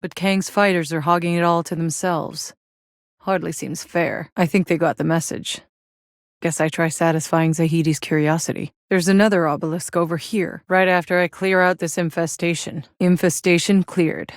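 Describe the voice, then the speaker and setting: Casting: actress for tough, wry woman soldier in a sci-fi game, deep register, gruff swagger, close dry studio sound. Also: fairly even intonation, moderate pace, dry recording, close to an everyday voice. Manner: gruff, sardonic, casual swagger. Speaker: video game voice actor. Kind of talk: video game voice lines.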